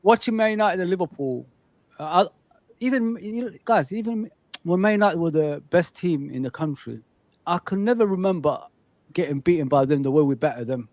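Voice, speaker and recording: A man's voice: high voice, animated, moderate pace, darkish tone, no echo. A man, a guest on a fan chat show, talking emphatically and repeating his points.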